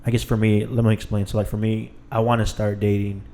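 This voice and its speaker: A man's voice: on the low side, little pitch movement, fast talker, mellow. A thirty-year-old man hosting a podcast, chatting in a laid-back way with many fillers and trailing thoughts. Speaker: podcast host. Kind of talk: casual chat.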